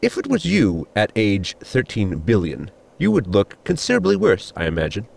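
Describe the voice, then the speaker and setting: A man's voice: on the low side, normal speed, vocal fry, husky, heavily acted. A man playing a formal, drily witty, deferential spaceship crewman in a sci-fi comedy audio drama. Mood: dry. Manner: deadpan, formal, wordy. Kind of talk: science fiction comedy audio drama acting.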